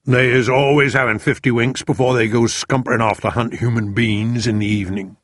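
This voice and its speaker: A man voicing a big, kindly, excitable male giant who mangles his words. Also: medium pitch, fairly quick, a little hiss or hum, natural voice. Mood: chatty. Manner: jumbled words, broad rustic lilt.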